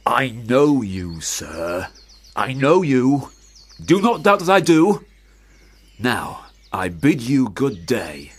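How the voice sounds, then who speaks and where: A stern older parson and father in a radio drama. He speaks slowly and formally, with sweeping rises and falls, in curt commands that expect to be obeyed. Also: medium pitch, big pitch swings, breathy edge, heavily acted. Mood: cold disapproval. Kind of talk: radio play acting.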